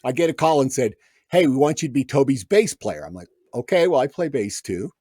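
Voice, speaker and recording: Guy telling band road stories on a podcast, lively bouncy voice, dry close sound. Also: mid-range voice, a little fast, husky, no room sound. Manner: animated anecdote teller, acts out quoted voices. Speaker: guest musician. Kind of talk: casual storytelling.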